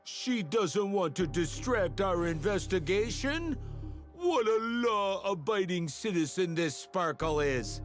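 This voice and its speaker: Slow-talking, sardonic game sidekick. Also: male voice, high-pitched, big pitch swings, faint music behind, full character voice. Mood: skeptical. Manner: sarcastic, skeptical. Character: sardonic laid-back man helping investigate. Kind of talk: video game character acting.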